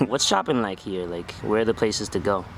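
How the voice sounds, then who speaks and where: Male street interviewer, low voice, easygoing, lots of "mm-hmm"; noisy street with traffic. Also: animated, fairly quick, gravelly. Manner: easygoing, many short affirmations. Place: outdoors on a city street.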